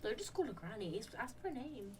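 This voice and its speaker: A woman's voice: a little high, very animated, fairly quick, a little mellow. A woman doing casual playthrough commentary on a video game as a streamer, reacting to the scenes. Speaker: gaming streamer. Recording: distant mic, game music behind. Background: game music.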